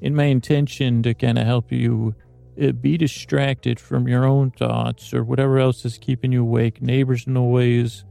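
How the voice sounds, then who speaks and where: Gravelly, mellow-voiced sleep podcast host. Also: male voice, medium pitch, level tone, no room sound, faint music behind. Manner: slow, meandering, self-interrupting. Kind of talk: rambling bedtime monologue.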